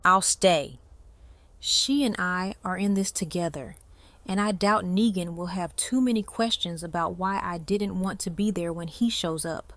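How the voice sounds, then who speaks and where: A woman's voice: fairly low pitch, unhurried, fairly bright tone, dry recording. A woman acting a loyal, resolute survivor in an audio drama. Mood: determined, subdued.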